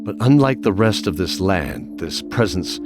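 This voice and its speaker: A man's voice: sits low, lively intonation, unhurried, over music. A man performing as a solemn storyteller, giving scripted dramatic narration of a fantasy audio drama. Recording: clear background ambience noise.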